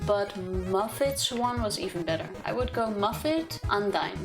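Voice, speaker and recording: A woman's voice: medium pitch, lively intonation, normal speed, music in the background. A woman chatting casually as she streams a video game playthrough. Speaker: streamer, voices game characters. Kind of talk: casual let's play chat.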